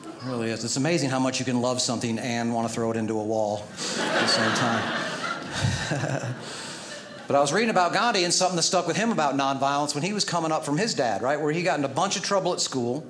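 A middle-aged American man performing a stand-up comedy set into a handheld microphone on a theater stage, animated and breaking into loud mock scolding. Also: mid-range voice, quick pace, noisy background. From United States. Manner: animated, jumps into loud shouting when acting out scolding. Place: theater stage with a live audience. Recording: roomy theater sound with audience laughter.

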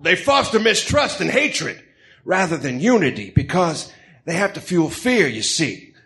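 A flamboyant, pompous Greek tycoon and reformed villain, acted out by a man for a comic radio play. The voice is high for a male and very animated, swooping through grand, self-justifying speeches with a bright, forceful edge. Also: unhurried, full character voice. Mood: righteous, persuasive. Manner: pompous, theatrical. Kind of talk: comedic read-aloud radio drama.

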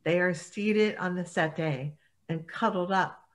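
Slow, low-voiced woman announcer. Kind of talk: scripted radio drama narration.

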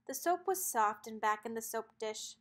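High-voiced woman reading a storybook aloud. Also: animated, normal speed, fairly bright tone. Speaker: children's book read-aloud narrator. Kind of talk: expressive storybook narration.

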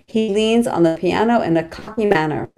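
A woman's voice: mid-range voice, moderate pace, dark tone, faint music behind. A middle-aged woman reading stage directions as scripted narration over a video call. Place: small plain room at home, on video call.

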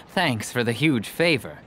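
A man's voice: medium pitch, a bit bright, slight background noise. A man voicing a generous, persuasive merchant in a video game, casual and insistent. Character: generous adult male merchant, brisk and persuasive. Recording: game music behind the voice.

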